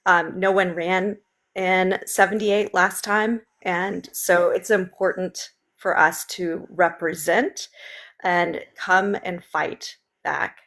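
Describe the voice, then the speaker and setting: A woman's voice: on the low side, fairly even intonation, slow pace, fairly bright tone. A woman with a Southern accent, originally from Memphis, earnestly answering interview questions as a political candidate, with many ums in long, run-on sentences. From Memphis, Tennessee. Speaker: state legislative candidate.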